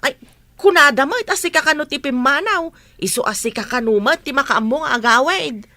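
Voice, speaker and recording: A woman's voice: high voice, expressive, a little fast, sharp tone, no echo, heavily acted. A woman acting a chatty, nosy household helper in a radio comedy drama, inquisitive and exclaiming. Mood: flustered, feisty.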